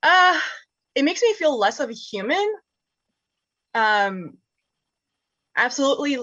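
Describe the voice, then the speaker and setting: A young woman whose first language is Spanish, reflecting candidly and casually in a group discussion, thoughtful and halting, with easy laughter. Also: high-pitched, big pitch swings, a bit bright, dry recording, lo-fi sound. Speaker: panel guest.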